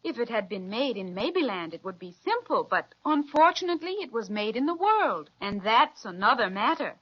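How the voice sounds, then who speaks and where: A woman's voice: on the high side, big pitch swings, brisk, breathy edge. An actress playing a kind fairy-tale queen, gracious, careful and reassuring in a formal old-time children's radio manner. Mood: gentle, instructive. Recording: dull old radio recording.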